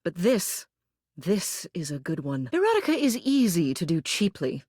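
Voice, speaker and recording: A woman's voice: fairly low pitch, big pitch swings, normal speed, a little mellow, no echo, clean studio sound. A woman voicing a pompous older lady mayor, a living household object in a video game, who speaks in a grand, formal, theatrical manner. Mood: snobbish, amused. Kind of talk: scripted video game character lines.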